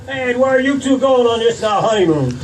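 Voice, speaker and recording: An actor playing an excitable, friendly small-town taxi driver in a radio drama. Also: male voice, very high voice, a little fast. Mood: curious, teasing. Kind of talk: stage re-creation of 1940s radio drama. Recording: far mic, loud street traffic noise.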